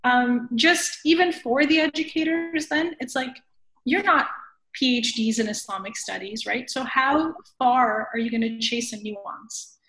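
A woman's voice: on the high side, unhurried, a bit bright. A woman chatting in a conversational, wry way as a guest on a casual podcast. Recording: echoey room.

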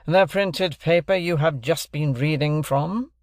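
A man voicing a bullying, sarcastic gentleman who cross-examines in a hectoring, authoritative manner for an audiobook. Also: a little high, moderate pace, no echo, studio quality, lightly acted. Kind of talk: classic novel narration, character voice.

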